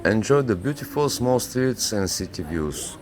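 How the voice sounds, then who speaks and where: A man's voice: lowish voice, expressive, measured pace, noisy background. A man narrating a scripted travelogue in formal wording.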